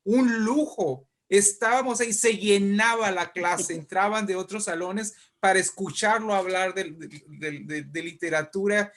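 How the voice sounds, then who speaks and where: A man's voice: high-pitched, a little slow, few pauses, a bit bright. A man with a Mexican accent, a guest writer telling anecdotes in an interview, hesitant and repeating his words.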